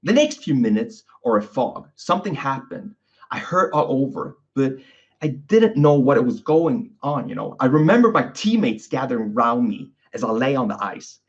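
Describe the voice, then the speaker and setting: A man's voice: medium pitch, big pitch swings, moderate pace. A man hosting his own podcast, talking casually and often tagging his lines with "okay?" and "you know". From Sweden. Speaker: podcast host, physiotherapist. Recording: dull lo-fi recording.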